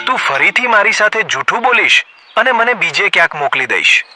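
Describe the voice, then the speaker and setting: A man plays a bullying lion king for a children's cartoon. The voice sits fairly high and swings widely in pitch, full of hungry menace and bluster, over a clear music bed. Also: sing-song, a little fast. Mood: threatening. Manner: threatening, blustering. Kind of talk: animated children's story voice acting.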